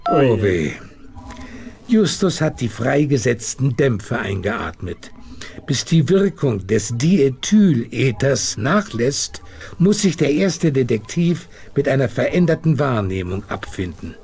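A man's voice: medium pitch, very animated, takes it slowly, darkish tone. A man acting as a grave narrator of a detective mystery game, formal and dramatic in delivery. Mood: ominous.

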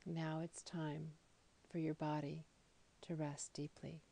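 A woman leading a guided meditation in a soothing, deliberate manner, with phrases that trail off softly. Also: low voice, flat delivery. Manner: soothing, slow and deliberate with soft, breathy phrase endings. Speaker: yoga nidra guide.

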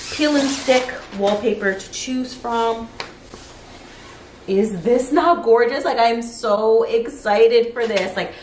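A woman in her thirties chatting casually to camera as a lifestyle vlogger, informal and peppered with "like", recorded in a living room. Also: mid-range voice. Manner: chatty, informal, frequent "like" as filler. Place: living room of an apartment, indoors. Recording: roomy echo, clear background noise.

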